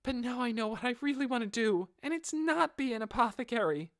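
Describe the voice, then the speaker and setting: A man's voice: very high-pitched, lively intonation, brisk, fairly bright tone, no room sound, studio quality, voice pushed up, exaggerated voice. A man voicing an earnest teenage boy, an apprentice apothecary who pleads imploringly, in an audiobook story. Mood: resolute.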